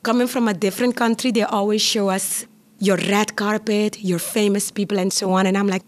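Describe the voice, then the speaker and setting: Lively woman telling her story. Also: mid-range voice, fairly quick. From Curaçao. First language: Papiamentu. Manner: lively, chatty, laughs often. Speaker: interviewee. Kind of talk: personal storytelling.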